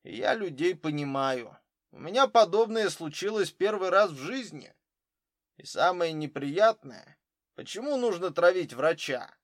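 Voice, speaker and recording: A man plays a troubled football coach in an audiobook. He talks earnestly and with complaint, in a raised, fairly high male voice with a dry sound. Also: expressive, measured pace, no echo. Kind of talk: audiobook character dialogue.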